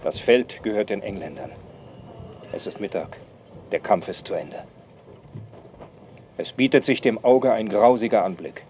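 A man's voice: on the low side, a little slow, long pauses, a little mellow, lightly acted. An actor playing a solemn male witness reporting from a battlefield in a radio drama. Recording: clear outdoor noise behind.

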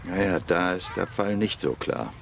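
An actor in a radio crime drama playing a concerned, inquisitive man with a gravelly voice. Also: sits low, animated, moderate pace. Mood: probing, sympathetic. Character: concerned, inquisitive male acquaintance questioning a couple.

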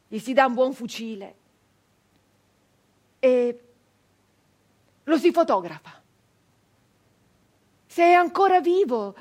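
An actress playing a captured nineteenth-century brigand woman, wounded, bitter and doomed, in an intense, halting theatrical monologue. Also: high-pitched, slightly rough, full character voice. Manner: intense, halting delivery with repeated phrases.

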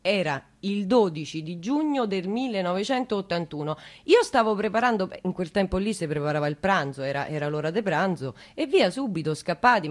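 A woman's voice: fairly low pitch, lightly acted. An actress playing a flustered, chatty woman witness in a radio drama, rambling and interrupting herself defensively. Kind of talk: naturalistic radio drama monologue.

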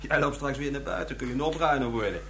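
A man's voice: medium pitch, normal speed, vocal fry, fairly bright tone, slight background noise. A man playing a seasoned, jocular forensic detective in a Dutch radio crime drama, full of colloquial banter. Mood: mocking banter.

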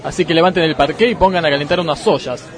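A man playing a dim, repetitive football club president in a comedic radio sketch, speaking deadpan. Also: a little high, quick pace, a faint crowd, lightly acted. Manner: deadpan, repeats himself.

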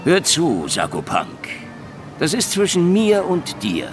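A man's voice: a little high, wildly swooping pitch, slow, music in the background, heavily acted. A man playing a cunning, hard-drinking old private detective in a hard-boiled radio drama, taunting and wordy in his wisecracks. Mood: menacing, mocking. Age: older.

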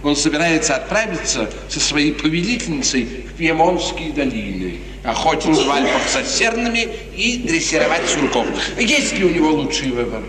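Scheming chamberlain type, formal stage diction; noisy, echo-laden vintage radio play recording. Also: male voice, a little high, very animated, moderate pace, hardly any pauses. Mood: disdainful. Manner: formal, deferential, theatrical. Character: smooth, scheming male courtier serving a prince. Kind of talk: 1950s radio drama acting. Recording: echoey, noisy, distant 1950s radio recording.

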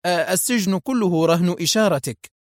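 A man's voice: high-pitched, brisk, dry recording, studio quality, pitched above the performer's own voice. A man voicing a nervous prison warden who stammers and fawns over a visiting judge. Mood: flustered. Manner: stammering, fawning.

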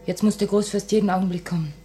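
An actress playing an anxious, dutiful revolutionary woman in a 1950 radio drama, speaking in earnest, measured stage German. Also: medium pitch, steady tone, warm tone, soft background music, natural voice. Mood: grave, restrained fear. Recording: old 1950 radio recording.

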